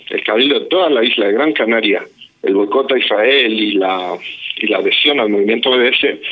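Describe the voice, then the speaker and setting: A man from Spain speaking as a guest in a radio interview by phone, with many fillers and restarts. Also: mid-range voice. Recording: tinny phone line.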